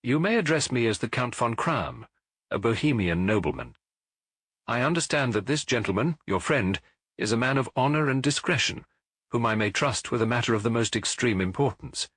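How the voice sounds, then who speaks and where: A grave, imperious king in disguise, acted by a male audiobook reader, speaks with formal pomp and deliberate weight. His pitch swings widely, the voice is husky, and the sound is close and dry. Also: expressive, fairly bright tone, dry recording. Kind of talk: audiobook character voice.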